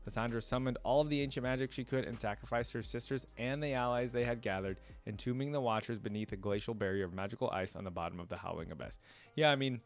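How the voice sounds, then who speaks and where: A man hosting a reaction video, chatting casually to viewers, thinking aloud and reading wiki text out as he goes. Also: on the low side. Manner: conversational, thinking aloud, often musing to viewers.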